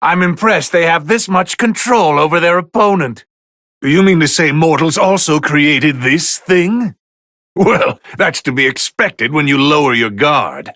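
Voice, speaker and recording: A man playing a haughty, bored god of destruction who commentates on video game fights in a condescending, sardonic, theatrical drawl with a gravelly edge. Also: on the high side, expressive, a little slow, pushed and bright, no room sound, studio quality. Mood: detached, critical. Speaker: match commentator.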